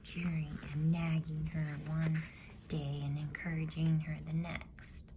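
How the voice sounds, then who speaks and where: A woman's voice: deep voice, little pitch movement, slow pace, background noise. A woman voicing a stern, protective older brother from a storybook, scolding in a firm, reproachful whisper.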